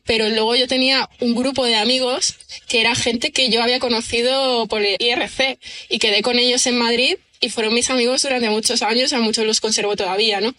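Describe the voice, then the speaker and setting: A woman's voice: on the high side, pushed and bright. A woman, a writer chatting as an interview guest, rambling with many fillers. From Almería, Spain. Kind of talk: casual interview chat.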